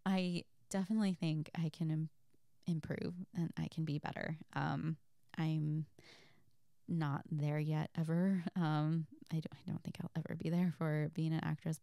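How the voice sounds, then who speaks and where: A woman chatting casually as a host, reading out and answering listener questions in a soft, giggly, rambling and hesitant way. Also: sits low, gravelly, clear, resonant tone, dry recording, clean studio sound. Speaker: audio creator and host.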